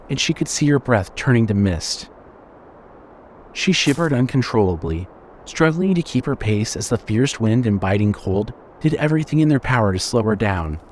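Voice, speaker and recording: A man's voice: medium pitch, darkish tone, a little hiss or hum. A man reading scripted horror fiction as the narrator of an audio drama.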